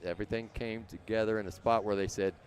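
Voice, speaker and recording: An older man from Indiana with a low voice, chatting as a guest on a live show and telling rambling stories full of restarts. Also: fairly even intonation, fast talker. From Indiana, USA. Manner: warm, rambling storyteller with many restarts. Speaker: guest and longtime viewer. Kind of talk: casual chat. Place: lounge in a casino resort, live show.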